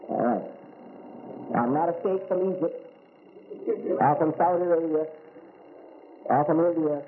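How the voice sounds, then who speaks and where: American guy preaching, high voice, keeps asking questions and repeating himself, tinny phone-like audio. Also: measured pace. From United States. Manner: rhetorical questions, repeats key words. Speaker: Islamic teacher. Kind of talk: religious lecture. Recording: tinny phone-like recording with faint hiss.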